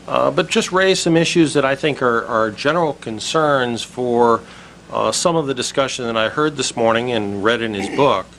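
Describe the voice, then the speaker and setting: A middle-aged or older man giving extemporaneous panel remarks in an earnest, measured, somewhat defensive way. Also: mid-range voice, a bit bright. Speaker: conference commenter, investment consultant. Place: live conference session. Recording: faint background noise, live event.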